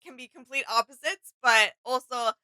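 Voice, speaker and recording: Clear, bright-voiced woman chatting casually. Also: fairly high pitch, moderate pace, no room sound, poor audio. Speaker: co-host of a reaction video channel. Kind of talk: casual chatty reaction commentary.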